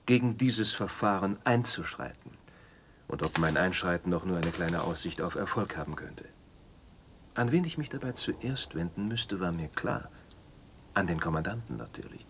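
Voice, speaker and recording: An actor playing a principled, reserved traveller in a radio play, speaking formally and firmly in a husky voice. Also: male voice, low-pitched, a bit bright, slight background noise. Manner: measured, formal, firm. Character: principled, reserved male traveller opposing a cruel procedure. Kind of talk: German radio play acting.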